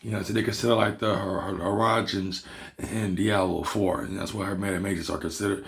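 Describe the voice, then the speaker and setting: A man from Barbados with a Bajan accent, hoarse from losing his voice, explaining a topic in a rambling, repetitive casual monologue as a video host. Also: low voice, fairly even intonation, fairly quick, fairly bright tone. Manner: rambling, repeats himself and restarts words. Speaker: hoodoo practitioner and video host.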